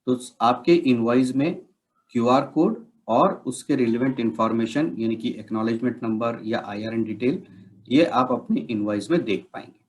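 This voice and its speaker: Man narrating a step-by-step software tutorial. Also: mid-range voice, normal speed, rough recording. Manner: explanatory, step-by-step, mixes English technical terms into speech. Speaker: software demonstrator.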